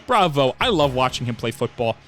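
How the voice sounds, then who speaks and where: A young man giving excited play-by-play commentary on video game football highlights, emphatic and cheering the big plays. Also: fairly quick, soft background music.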